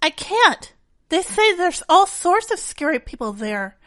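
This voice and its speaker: A woman playing a meek, anxious, soft-spoken high school girl in a fan audio drama, earnest and timid. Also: big pitch swings, unhurried, no echo, exaggerated voice. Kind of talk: fan audio drama, anime game adaptation.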